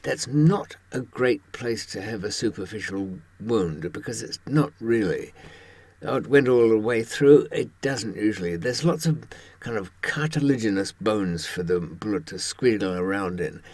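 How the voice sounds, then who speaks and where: A man in his seventies speaks in a low, husky voice with lively swings of pitch. He talks in a wry, conversational way, often pausing to find the words. The sound is close and dry. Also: dry recording. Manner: wry, halting, with pauses for thought. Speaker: presenter talking from personal experience. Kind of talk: conversational monologue to camera.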